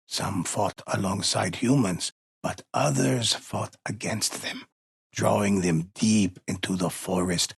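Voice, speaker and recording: Low, husky, ominous legend teller. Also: male voice, no echo, clean studio sound, heavily acted. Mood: ominous warning. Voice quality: husky, gravelly. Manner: slow, ominous, deliberate, reverent. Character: grave, mysterious male teller of demon legends. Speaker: storyteller character in a samurai video game. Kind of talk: dramatic in-game storytelling.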